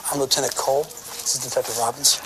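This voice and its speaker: An actor playing an earnest, courteous police lieutenant in a radio drama, speaking in a measured, serious way. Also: male voice, mid-range voice, steady tone, noisy background, lightly acted. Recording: music behind the dialogue.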